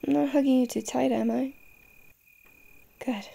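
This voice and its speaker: A tough, loving young girlfriend, acted by a woman in an audio roleplay, with a fairly high voice and a noticeable creak. She talks straight to the listener, defiant and fiercely protective, in a soft-edged mellow tone. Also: fairly even intonation, unhurried, heavily acted. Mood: tender, protective. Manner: tough-talking, speaking directly to listener.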